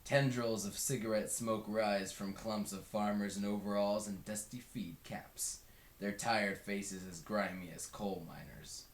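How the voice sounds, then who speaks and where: Low-voiced man reading in an echoey room. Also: steady tone, measured pace. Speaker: read-along narrator. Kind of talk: scripted book narration. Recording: roomy, echoing home recording.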